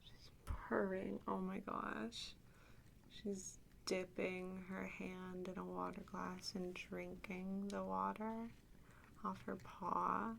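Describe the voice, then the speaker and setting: A woman rambling softly and in whispers as an ASMR host, with a cutesy, coaxing, playfully teasing manner. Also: medium pitch, level tone, slow, creaky. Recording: faint background noise.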